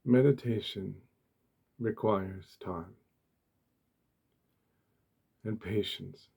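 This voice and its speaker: A man speaks in a hushed, breathy ASMR style at a very slow pace. His voice sits fairly low and has a dark, mellow tone. He leaves deliberate pauses between short, gentle phrases. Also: steady tone. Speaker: ASMR host. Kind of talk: soft-spoken ASMR meditation talk.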